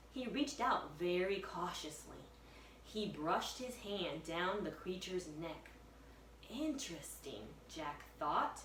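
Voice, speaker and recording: A woman, a first-grade teacher, reading aloud to young pupils with expressive, suspenseful storytelling. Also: fairly low pitch. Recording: echoey room, distant mic, faint noise.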